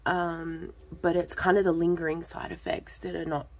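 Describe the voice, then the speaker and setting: Congested woman with a gravelly voice. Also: lowish voice, little pitch movement, normal speed. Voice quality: throat a bit off, gravelly. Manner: rambling, lots of ums and restarts. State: lingering cough and congestion after illness. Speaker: vlogger, budgeting channel host. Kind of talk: casual chatty vlog, talking to viewers.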